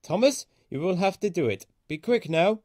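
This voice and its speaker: A man voicing a bossy male railway controller in a children's storybook, giving urgent orders in a brisk, commanding manner. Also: high voice, lively intonation, a little mellow, no echo, studio quality, voice pushed up.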